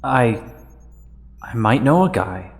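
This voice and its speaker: A man's voice: medium pitch, very animated, slow. A man playing a modest, awkward young superhero in an audio drama, with hesitant, understated delivery. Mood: resigned, hesitant.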